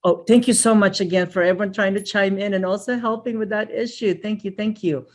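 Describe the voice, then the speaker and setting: A woman's voice: medium pitch, steady tone, fairly quick. A woman from the Philippines presenting a webinar over a video call, grateful and chatty, with many fillers and repeated thank-yous. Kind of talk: live webinar wrap-up.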